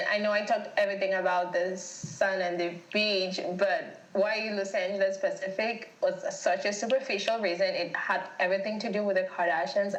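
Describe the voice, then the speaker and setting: A woman's voice: mid-range voice, echoey room, poor audio. A young woman from Cameroon whose first language is French, chatting easily as a podcast guest and laughing often. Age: young adult. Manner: chatty, laughs easily, restarts her phrases. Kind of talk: casual interview chat.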